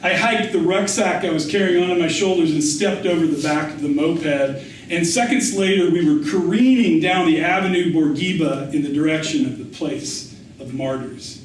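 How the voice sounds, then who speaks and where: Male author reading his essay out loud, measured storyteller, kinda high voice, super echoey room. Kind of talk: literary memoir reading, scripted narration. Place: indoor public reading. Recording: echoey room, distant mic, faint noise.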